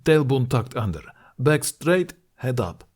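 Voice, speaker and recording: A man voicing a stern, assured horseman mentor in an audiobook, giving deliberate, clipped instructions in formal phrasing. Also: mid-range voice, sing-song, darkish tone, voice pushed up. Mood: commanding. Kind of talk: audiobook character acting.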